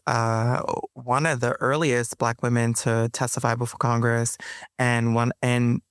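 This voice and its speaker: A woman being interviewed as a playwright, talking in a chatty, animated way, with frequent fillers and bits of laughter. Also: rumbling low, clean tone, dry recording, clean studio sound. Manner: animated, chatty, addresses listeners as y'all. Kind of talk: casual interview conversation.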